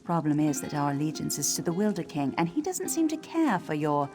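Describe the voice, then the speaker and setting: An actress playing a haughty, sardonic wood elf village leader in a video game. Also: female voice, sits low, very animated, brisk, darkish tone. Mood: mocking, dismissive. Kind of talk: video game character acting. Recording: music behind the voice.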